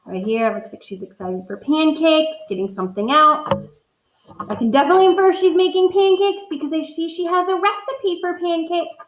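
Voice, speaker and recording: A woman's voice: extremely high pitch, a little fast, reverberant, phone-quality sound. A woman teacher doing a read-aloud lesson, thinking aloud as she models inferences from the pictures for her students.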